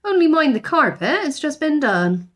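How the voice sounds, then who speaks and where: A woman's voice: high-pitched, big pitch swings, moderate pace, a bit bright, voice pushed up. A woman voicing a fierce, grumbling older housekeeper from a children's storybook, blunt, fussy and full of exclamations.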